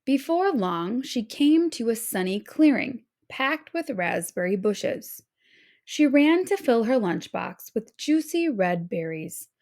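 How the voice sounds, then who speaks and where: A woman narrating a children's story aloud in a dramatic, expressive read-aloud style. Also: fairly high pitch, slow pace. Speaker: storybook reader.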